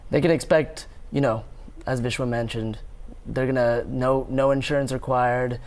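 A man's voice: medium pitch, steady tone, moderate pace, darkish tone. A young man, a pre-med student, speaking earnestly in a TV studio interview on a lapel mic. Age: young adult. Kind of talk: live TV interview. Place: TV news studio.

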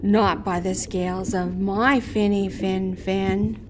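A woman's voice: medium pitch, level tone, slow pace, background noise, close to an everyday voice. A woman voicing a cheerful, food-loving female little fish, perky and childlike, in a children's puppet show. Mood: defiant.

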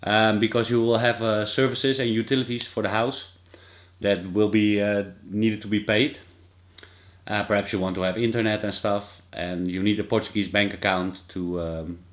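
A Dutch man in his thirties talks through a how-to explanation in a relaxed vlog. His voice sits somewhat low, and he pauses often with fillers and restarts. He is recorded by a desk camera in a small indoor office. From Netherlands. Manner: hesitant, many fillers and restarts. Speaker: vlogger. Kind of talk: casual informative vlog.

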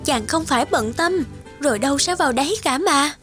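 A woman playing a gentle, devoted young fairy wife in a cartoon folktale, speaking earnestly. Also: sits high, expressive, a little fast. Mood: reassuring. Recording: music behind the voice.

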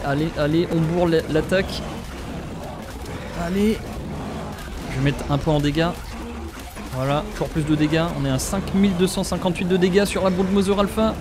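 Young French male gaming host, higher voice, excitable live commentary over loud game noise. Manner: excitable, exclaiming, talks straight to viewers. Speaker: gaming YouTuber. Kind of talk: let's play commentary.

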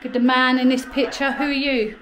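A woman, calmly calling out to spirits in a small abandoned farmhouse room on a handheld camera. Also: sits high, fairly quick, music in the background, poor audio. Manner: calm, coaxing questions. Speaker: paranormal investigator. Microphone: handheld action camera.

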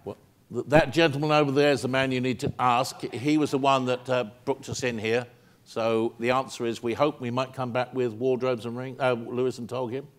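A man's voice: mid-range voice, level tone, normal speed. A man in his sixties with a British accent, telling stories on a theater stage during an audience Q&A, chatty and full of ums and restarts. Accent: British English. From England. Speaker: actor answering audience questions. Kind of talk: stage Q&A storytelling. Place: theater stage, seated in armchair.

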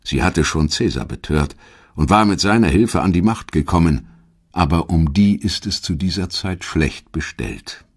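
A man reading a scripted audio documentary in a measured, dramatic storytelling manner, with a husky voice. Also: low voice, expressive. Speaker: documentary narrator. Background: background music.

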